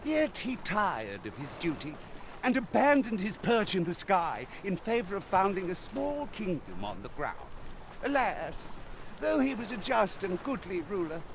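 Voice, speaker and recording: An actor playing a whimsical old storyteller in a video game, musing theatrically in archaic phrasing. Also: male voice, high voice, very animated, normal speed, vocal fry, noisy background, exaggerated voice. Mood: musing, wistful. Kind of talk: video game character dialogue.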